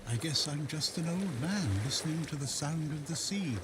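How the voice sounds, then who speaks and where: An actor playing a wistful, philosophical old hermit by the sea in a fantasy video game. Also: male voice, a little high, natural voice. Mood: brooding, patient. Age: older. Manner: slow, musing, philosophical. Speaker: non-player character in a fantasy RPG. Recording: water ambience behind the voice.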